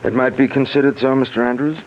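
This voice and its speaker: A man plays a measured asylum doctor in an old radio drama. His mid-pitched voice questions carefully. The vintage recording is muffled with a little noise. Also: fairly even intonation, lightly acted. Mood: measured, probing. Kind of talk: 1940s radio suspense acting.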